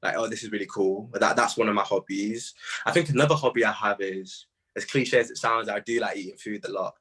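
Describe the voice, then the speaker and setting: A young British man in a casual interview, telling stories in slangy, animated speech full of quoted asides. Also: fairly low pitch, a little fast, breathy edge. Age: young adult. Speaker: music artist being interviewed.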